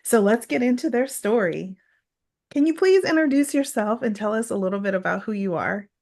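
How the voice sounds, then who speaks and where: Woman, show host, coach, fairly high pitch, conversational interviewing. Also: expressive, brisk, vocal fry, a little mellow. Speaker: host, psychologist and exam coach.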